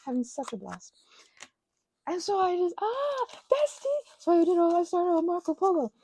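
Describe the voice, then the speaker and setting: A woman's voice: very high voice, big pitch swings, moderate pace, warm tone, dry recording. A young adult woman chatting casually as she crafts, in a scattered, self-interrupting way, gushing and poking fun at herself as she wanders off on tangents. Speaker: craft and ASMR channel host. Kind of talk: casual rambling chat while crafting.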